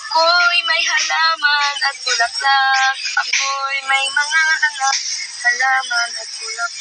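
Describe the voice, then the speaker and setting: A woman acts out a madwoman mother for a puppet show. She is distraught and pleading, drifting through repetitive, drawn-out phrases about her lost children. Prominent music plays underneath her. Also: high voice, steady tone, pitched below the performer's own voice, low-quality recording. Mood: dreamy singsong. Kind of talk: puppet show dramatic acting. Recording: music playing behind the voice.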